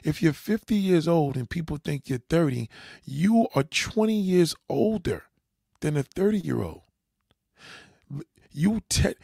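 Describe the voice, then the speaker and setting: A man with a gravelly, fry-edged voice at an ordinary pitch lectures bluntly, swearing now and then. He keeps checking that the listener understands. The sound is dry and close. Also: darkish tone, dry recording. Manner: lecturing, repeats "you understand?". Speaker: dating advice commentator. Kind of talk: reaction commentary.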